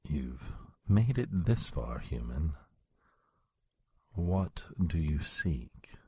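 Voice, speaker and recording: An actor playing an ancient, haughty, menacing male vampire lord in an audio drama, with a breathy rasp and a pompous, deliberate manner. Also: a bass voice, very animated, mellow, exaggerated voice. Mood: cold, imperious. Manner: slow, pompous, coldly deliberate.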